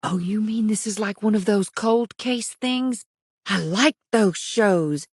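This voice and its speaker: A man's voice: high-pitched, husky, dry recording, voice pushed up, full character voice. A male narrator voicing a weary young mother of about thirty with a dry, frazzled way of talking. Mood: wry, weary. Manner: dry, frazzled, quick to chatter.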